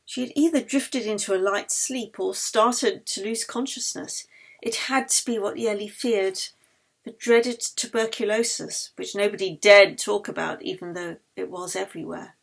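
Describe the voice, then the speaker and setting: A woman narrating a novel as its author, in an expressive, dramatic storytelling style. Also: mid-range voice, normal speed, gravelly. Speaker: author reading her own novel.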